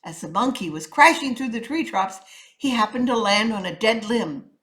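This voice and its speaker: Animated, bright-voiced woman telling a story. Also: on the high side, normal speed. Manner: theatrical, emphatic with rhythmic sound words. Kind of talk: expressive children's story narration.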